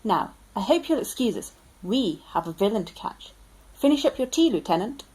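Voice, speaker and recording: A woman's voice: medium pitch, big pitch swings, moderate pace, a little mellow, breathy edge, low-quality recording. A woman playing an officious, politely apologetic male police sergeant in an amateur radio drama.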